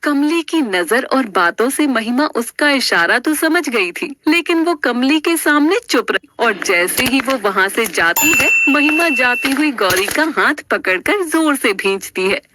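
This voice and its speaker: A woman's voice: high-pitched, expressive, a little slow, few pauses, pushed and bright. A woman storyteller giving dramatic scripted narration of a story.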